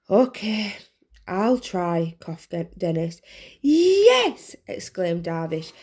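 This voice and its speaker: A woman's voice: lowish voice, dark tone. A woman reading a children's storybook aloud as narrator. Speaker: reader, teacher.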